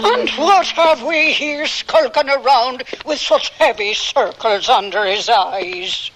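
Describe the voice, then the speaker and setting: A woman's voice: high voice, animated, takes it slowly, background noise. An actress playing a motherly, chatty boarding-house woman in a radio drama. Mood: bantering.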